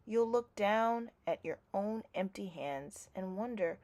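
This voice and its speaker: Slow, expressive woman storyteller. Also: mid-range voice. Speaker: storyteller reading a children's picture book aloud. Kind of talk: scripted read-aloud narration for young children.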